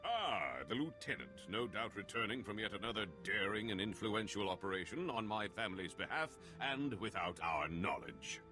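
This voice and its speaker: Haughty, swooping low-voiced nobleman. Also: big pitch swings, moderate pace, few pauses, over music. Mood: indignant, sarcastic. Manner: formal, pompous. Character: haughty, indignant nobleman of a ruling house. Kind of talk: video game voice acting.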